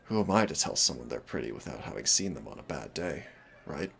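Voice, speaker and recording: A man playing a shy, gentle, nervous young man in an intimate audio roleplay, hesitant, rambling and self-deprecating. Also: very deep voice, very animated, fairly quick, vocal fry, heavily acted. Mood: anxious musing.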